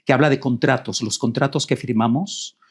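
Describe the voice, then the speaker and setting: A middle-aged man from Rico, a guest telling his life story in a reflective, measured way. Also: medium pitch, breathy edge. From Puerto Rico. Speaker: guest, co-author. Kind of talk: personal storytelling in conversation.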